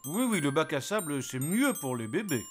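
A man's voice: fairly high pitch, sing-song, moderate pace, music in the background. A man voicing an easygoing father donkey in a cartoon, speaking with playful regret. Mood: mock regret. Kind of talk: cartoon voice acting.

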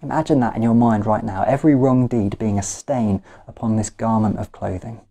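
A man in his thirties preaching a sermon, deliberate and emphatic, repeating key phrases with measured pauses. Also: fairly low pitch, creaky, mellow. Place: small living room at home, indoors.

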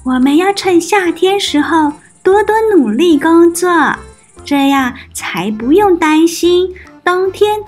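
Woman as a high-pitched, hardworking little ant. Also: lively intonation, takes it slowly, darkish tone, soft background music, full character voice. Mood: earnest, urging. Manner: earnest, admonishing. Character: hardworking, sensible ants, scolding the idle.

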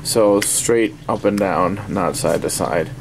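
A man giving a casual, halting, offhand unboxing review at a desk in a small indoor workspace. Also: low-pitched, vocal fry. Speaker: product reviewer. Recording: steady noisy room ambience.

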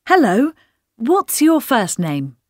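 A woman's voice: a little high, wildly swooping pitch, no echo, clean studio sound, heavily acted. A woman playing someone who politely asks a man his name, spelling and hometown. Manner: polite, brisk questioning. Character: woman asking a visitor's details.

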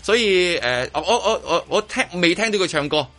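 A man's voice: high voice, lively intonation, brisk, pushed and bright. A man with a Hong Kong Cantonese accent hosting casual radio chat, rephrasing himself emphatically as he goes. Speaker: radio show host.

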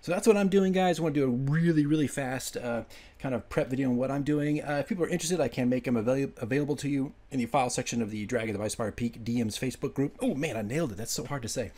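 Fast-talking man, casual and enthusiastic. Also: medium pitch, mellow. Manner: informal, enthusiastic, hurried. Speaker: game master making a prep video. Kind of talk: casual screen-share walkthrough. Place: indoors at a computer, voice-over.

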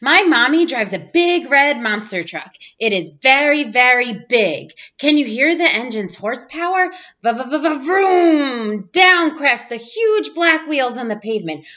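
Animated, high-voiced woman reading a picture book. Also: takes it slowly, few pauses. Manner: expressive, playful exclamations and sound effects. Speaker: teacher reading aloud. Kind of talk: children's picture book read-aloud. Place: small room at home, indoors. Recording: dull lo-fi webcam audio.

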